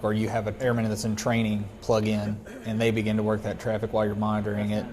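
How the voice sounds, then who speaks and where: Low, even-voiced man in an interview. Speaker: air traffic controller. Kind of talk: interview answers about own job. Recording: faint background noise.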